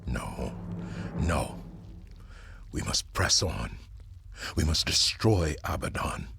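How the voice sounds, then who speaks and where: An actor playing a cautious, guilt-ridden older warrior and father in a video game, solemn and deliberate in manner. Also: extremely low pitch, sing-song, vocal fry, husky, full character voice. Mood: sombre, resolute. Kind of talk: dramatic video game voice acting. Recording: loud music behind. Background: game score music.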